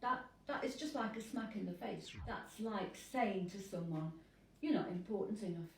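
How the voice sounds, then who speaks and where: A woman's voice: medium pitch, lively intonation, moderate pace, a little mellow, far from the mic. Earnest woman priest, echoey room. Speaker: priest with hearing impairment. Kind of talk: interview.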